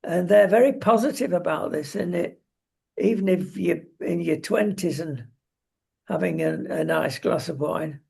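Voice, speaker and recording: A talk show presenter with a gravelly, husky voice, giving wry, opinionated takes in conversational talk about current affairs. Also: mid-range voice, a little slow, darkish tone.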